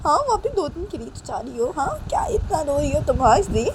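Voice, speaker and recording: A woman's voice: fairly high pitch, fairly quick, natural voice. A woman voicing an exasperated mother who scolds a grown daughter insistently and repetitively in an amateur dramatized story. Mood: exasperated scolding. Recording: faint vehicle noise behind the voice.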